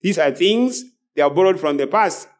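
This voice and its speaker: A man's voice: sits high, lively intonation, normal speed. A man preaching a sermon as a preacher, emphatic and repeating phrases as he goes. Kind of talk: sermon with scripture and book readings.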